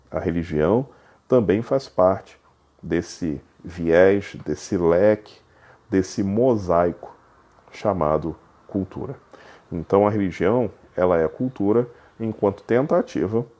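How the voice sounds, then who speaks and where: A man teaching a recorded lecture, explaining step by step and repeating key points, sometimes drawing words out slowly for emphasis. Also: deep voice, dark tone.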